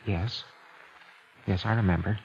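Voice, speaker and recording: Low-voiced, cagey radio suspense husband. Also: male voice, fairly even intonation, vocal fry, slight background noise. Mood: guarded, evasive. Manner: deliberate narration, halting when questioned. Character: cagey, calculating husband accused of murdering his wife. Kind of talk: 1940s-50s radio suspense acting, first-person narration. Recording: muffled vintage radio recording, music behind.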